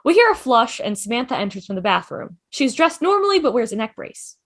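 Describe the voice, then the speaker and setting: A woman's voice: a little high, big pitch swings, fairly quick, fairly bright tone. A woman reading stage directions as scripted narration in a fan table read.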